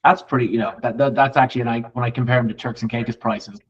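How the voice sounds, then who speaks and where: A man's voice: mid-range voice, fairly even intonation, racing, recorded from a distance. A man's mid-range voice comes from a little way off the microphone, with a dull, lo-fi sound. He is hosting a conversational interview, asking and commenting in a rambling, agreeable manner. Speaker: interviewer, real estate host.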